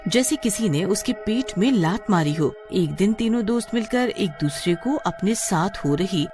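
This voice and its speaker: A woman's voice: medium pitch, lively intonation, a little slow. Woman narrating a story over music. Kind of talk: scripted cartoon storytelling narration.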